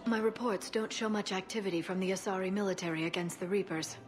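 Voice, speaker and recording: A woman's voice: medium pitch, fast talker, music in the background, close to an everyday voice. Woman as prim, earnest young alien broker. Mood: defensive. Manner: precise, indignant, reserved. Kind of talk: video game voice acting.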